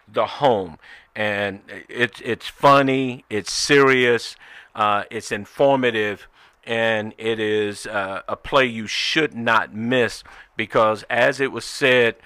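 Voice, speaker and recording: A man's voice: lowish voice, bright, forceful tone, no room sound. A man hosting live talk radio in a conversational, folksy way with emphatic phrasing. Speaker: radio show host.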